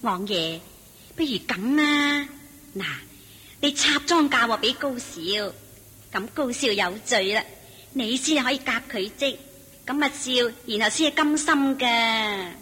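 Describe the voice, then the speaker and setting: A sly, wheedling madam. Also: female voice, a little high, unhurried, bright, forceful tone, husky, heavily acted. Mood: scheming. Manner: wheedling, sly, laughs while she schemes. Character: scheming middle-aged female brothel madam. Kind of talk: radio play acting.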